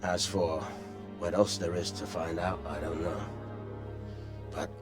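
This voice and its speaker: A man playing a gruff, blunt, weary village bailiff in a medieval video game. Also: low-pitched, little pitch movement, unhurried, music in the background. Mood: grim, resigned.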